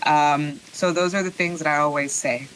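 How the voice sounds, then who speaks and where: A woman's voice: deep voice, fairly even intonation, normal speed, fairly bright tone, a little hiss or hum, poor audio. A young adult woman, a law professor and attorney, talking earnestly and upbeat in a casual podcast interview. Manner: earnest, upbeat, talks with many fillers.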